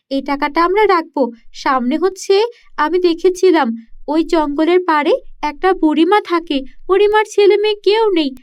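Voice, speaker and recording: A woman voicing an earnest, kind-hearted young village girl in a children's cartoon, with emphatic delivery. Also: very high-pitched. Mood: eager.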